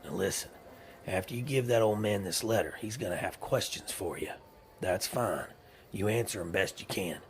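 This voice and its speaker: An actor playing a scheming, menacing western gang boss with a gravelly voice and a rural American drawl, commanding and slyly coaxing, in an audio drama. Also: male voice, lowish voice, moderate pace, slight background noise, close to an everyday voice.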